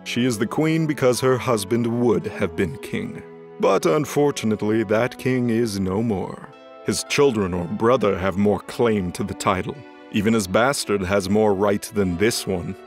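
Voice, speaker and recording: A man's voice: lowish voice, unhurried, soft background music. A man playing a haughty, flippant emperor god in an audio drama, speaking with bored disdain and theatrical sighs. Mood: haughty lecturing.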